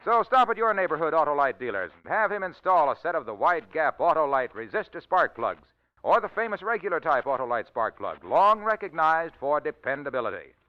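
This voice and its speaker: A man delivering an emphatic, salesman-like sponsor pitch as a 1940s radio announcer. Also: big pitch swings, fairly quick. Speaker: commercial announcer. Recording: dull old radio recording.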